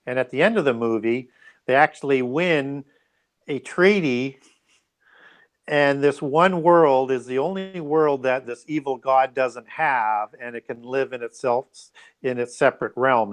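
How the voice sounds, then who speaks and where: Male interview guest, medium pitch, conversational and explanatory, thinks aloud haltingly. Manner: halting, explanatory, often adds "right?" and "you know". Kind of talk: conversational interview answers on religious conspiracy.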